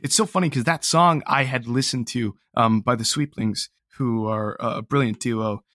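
A man with a mid-pitched voice talks as an interview guest in a close, dry recording. He is reflective and casual, earnest and a little self-deprecating, often restarting his phrases. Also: normal speed, a bit bright, dry recording, faint music behind. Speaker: interview guest, audio drama writer.

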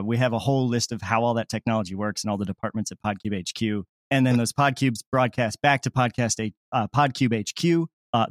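A man's voice: mid-range voice, no echo, studio quality. A man who is a podcast creator, explaining his work casually and enthusiastically as an interview guest.

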